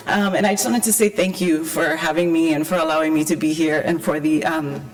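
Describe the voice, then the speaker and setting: A woman from Hawaii speaking as an invited panelist to an audience, with a croaky, hoarse voice and a conversational, wry manner. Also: deep voice, fairly even intonation, a little fast, rough recording. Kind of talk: public talk to an audience.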